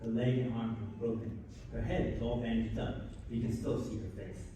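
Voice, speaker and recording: A male narrator reads scripted scene directions for a live radio play in a mellow, medium-pitched voice. He sounds distant, in a very echoey hall with faint noise. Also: flat delivery, a little fast. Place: live stage at a convention.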